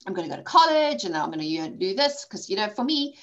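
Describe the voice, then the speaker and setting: A woman in her forties from South Africa, a guest in a conversational interview, telling her story candidly with quick asides. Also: medium pitch, big pitch swings. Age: 40s. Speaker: podcast guest, coach and author.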